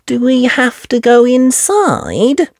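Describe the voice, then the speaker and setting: Woman voicing a high-pitched little girl pig. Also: very animated, slow, darkish tone, dry recording, clean studio sound, exaggerated voice. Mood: worried. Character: little girl pig, polite, curious cartoon child.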